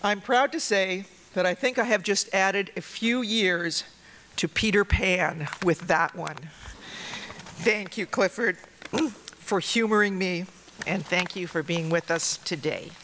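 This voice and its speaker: A woman's voice: mid-range voice, slow pace, fairly bright tone, slight background noise. A woman introducing a speaker at a conference, reading a scripted introduction with dry, teasing humor. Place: conference lecture hall.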